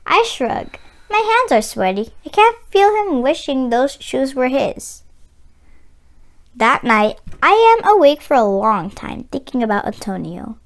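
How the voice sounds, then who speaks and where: A woman reading a children's picture book aloud as host, with expressive, dramatic and deliberate phrasing. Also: high voice. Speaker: read-aloud channel host, storyteller.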